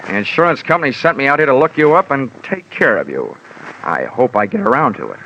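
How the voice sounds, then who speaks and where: A man's voice: mid-range voice, very animated, fast, slight background noise. An actor playing a wisecracking insurance investigator, narrating his case in clipped, slangy 1940s hard-boiled radio style. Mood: sardonic. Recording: muffled vintage radio recording.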